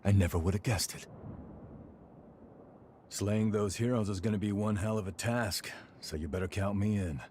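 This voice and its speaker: An actor voicing a brash, loyal fighter in a video game, talking in a cocky, slangy way. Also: male voice, fairly low pitch, moderate pace, long pauses, darkish tone, slight background noise, natural voice. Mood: cocky, eager. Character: brash, loyal century-old male fighter, rough-talking adventurer. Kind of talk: video game cutscene acting.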